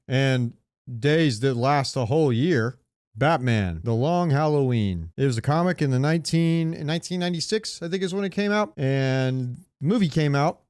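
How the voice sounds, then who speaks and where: A man co-hosting a podcast in casual chat, haltingly thinking aloud as he talks. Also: mid-range voice, a little mellow, smooth, no room sound, studio quality. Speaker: podcast co-host.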